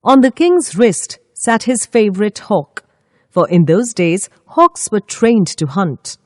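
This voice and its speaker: A woman storyteller reading a scripted children's story with expressive, deliberate phrasing. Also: medium pitch, no room sound, clean studio sound.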